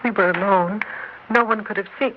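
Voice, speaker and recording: An actress playing a frightened, suspicious wife who questions guardedly, in a 1960s radio drama. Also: female voice, medium pitch, normal speed, background noise, lightly acted. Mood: wary. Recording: muffled old radio broadcast.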